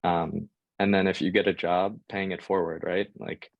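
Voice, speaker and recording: A man's voice: deep voice, flat delivery, moderate pace, creaky, no echo, lo-fi sound. A young American man giving informal advice as a panel guest, with hesitant speech full of fillers. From United States. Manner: hesitant, filler-heavy delivery. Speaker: guest sharing job-search advice.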